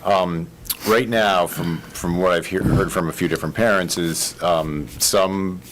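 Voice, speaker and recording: A man's voice: sits low, steady tone, measured pace, background noise. A man on the school board asking questions with hesitant, careful phrasing. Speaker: board member. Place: meeting room.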